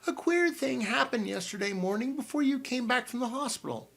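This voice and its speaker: A man's voice: extremely high pitch, lively intonation, a little fast, creaky, fairly bright tone, breathy edge, pitched above the performer's own voice, heavily acted. A man reading an audiobook and voicing a fussy, flustered middle-aged aunt who rambles breathlessly. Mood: chatty.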